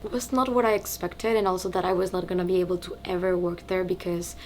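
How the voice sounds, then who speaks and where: Woman in her twenties from Mexico, relaxed home chat, repeats words, faint background rumble. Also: quick pace. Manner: chatty, repeats words for emphasis. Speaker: beauty vlogger. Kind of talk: casual get-ready-with-me chat. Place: home, living in Spain.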